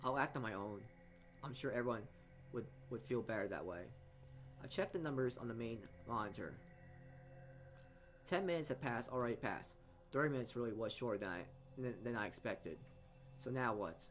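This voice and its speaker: A man's voice: mid-range voice, lively intonation, moderate pace, long pauses, fairly bright tone. A man hosting a gaming video, reading a visual novel aloud with casual asides and often stumbling over or repeating words. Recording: music behind the voice. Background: game background music.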